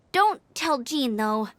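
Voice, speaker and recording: A bubbly young female scout who teaches gliding in a video game. Her voice is very high and bright, with swooping, expressive intonation. She chatters eagerly and perkily. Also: no room sound, exaggerated voice. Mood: chatty, eager. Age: young adult. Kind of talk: animated video game voice acting.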